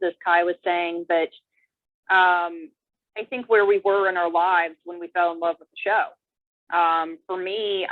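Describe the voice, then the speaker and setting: Woman in her 30s, lowish voice, rambling w lots of "you know", thin phone-y dry sound. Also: no echo. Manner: rambling, many fillers and restarts. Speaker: viewer joining a watch-along chat. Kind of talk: casual chat. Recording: tinny phone-like dry line.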